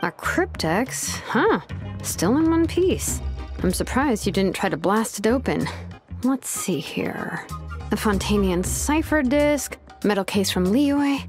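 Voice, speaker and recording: A woman's voice: mid-range voice, sing-song, takes it slowly. A woman voicing an easygoing, curious young female tinkerer in a video game, musing aloud in a casual, breezy way. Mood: musing, appraising. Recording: game music under the voice. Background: background music.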